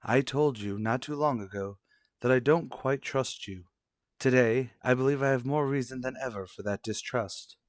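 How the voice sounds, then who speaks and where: A man playing a stern, distrustful husband and father in an old-fashioned radio soap, speaking in a formal, measured, argumentative way. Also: medium pitch, dry recording, clean studio sound, close to an everyday voice. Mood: accusing.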